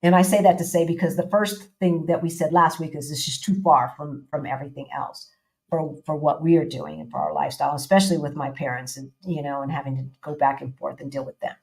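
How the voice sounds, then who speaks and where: A woman's voice: low voice, fairly even intonation, fast, gravelly, darkish tone, rough recording. A woman hosting a casual live-stream interview, chatty and repeating phrases, with loose casual slang. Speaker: host.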